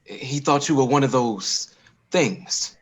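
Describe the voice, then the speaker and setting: A man playing a protective, hospitable father surviving a zombie apocalypse in a table read, with a husky voice. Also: mid-range voice, expressive, slow pace. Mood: calming, apologetic. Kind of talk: dramatic comic-script table read.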